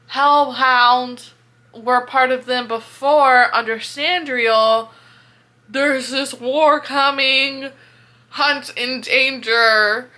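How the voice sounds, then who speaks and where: A young woman chatting casually about a book she is reading along with, animated and exasperated, swearing as she thinks aloud. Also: high voice.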